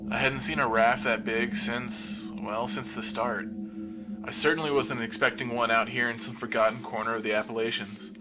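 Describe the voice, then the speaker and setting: A man's voice: mid-range voice, fairly even intonation, normal speed, over music, natural voice, rough recording. A man playing an injured, lonely survivor of a zombie apocalypse, confiding wryly and with swearing over a makeshift radio broadcast in an audio drama monologue. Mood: tense recounting.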